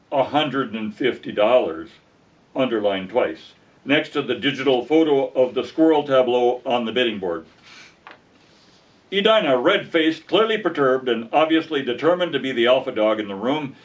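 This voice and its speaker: Man reading a story, distant dull recording. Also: mid-range voice. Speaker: audiobook narrator reading a comic story. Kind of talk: narrated storytelling, read aloud. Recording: dull lo-fi sound, distant from mic.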